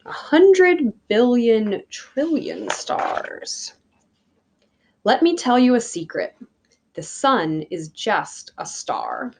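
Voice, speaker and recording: A woman reading a picture book aloud like a teacher, with deliberate, emphatic phrasing for young listeners. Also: on the high side, darkish tone. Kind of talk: children's read-aloud.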